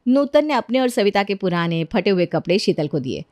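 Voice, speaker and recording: A woman's voice: mid-range voice, sing-song, moderate pace, a little mellow, dry recording. A woman narrating a scripted cartoon bedtime story as the storyteller.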